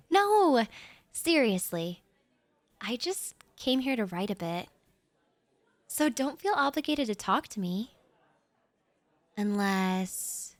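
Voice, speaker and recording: A young woman voicing a shy writer who is a regular at a café, talking softly and giggling nervously in an audio roleplay. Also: medium pitch, dry recording. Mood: shy, polite. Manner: soft, giggly, a little nervous and rambling.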